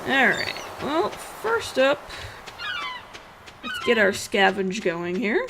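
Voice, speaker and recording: A woman's voice: fairly high pitch, wildly swooping pitch, takes it slowly, vocal fry, background noise. A woman doing casual let's play commentary with a blunt, sarcastic manner. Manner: sarcastic, blunt, salty-mouthed. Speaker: gaming video host.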